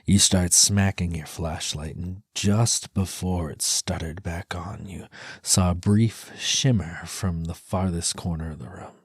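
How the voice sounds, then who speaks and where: Deep hushed male ASMR narrator. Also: fairly even intonation, a little slow, husky, no echo, clean studio sound. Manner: hushed, slipping into whispers. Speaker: audio storyteller. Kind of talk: second-person erotic ASMR narration.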